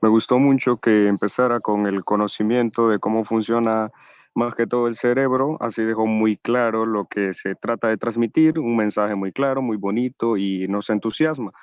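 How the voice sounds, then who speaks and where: A man's voice: lowish voice, moderate pace, clean tone, dry recording, phone-quality sound. A man from Honduras politely addressing a speaker on a video call. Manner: polite and formal. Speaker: audience member.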